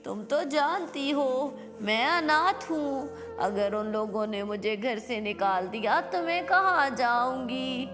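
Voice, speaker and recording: A woman's voice: high voice, expressive, takes it slowly, few pauses, a bit bright, heavily acted. A woman voicing a distressed, fearful young female bird with a plaintive manner in a cartoon. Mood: tearful, anxious. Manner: plaintive, worried. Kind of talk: Hindi cartoon moral-story voice acting. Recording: long reverb with music behind.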